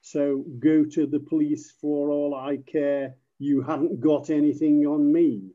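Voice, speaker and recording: Slow British-accented man, muffled sound. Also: on the high side, little pitch movement, dark tone. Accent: British English. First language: English. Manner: measured, slightly formal. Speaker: podcast guest, native speaker. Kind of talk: giving example sentences in a lesson.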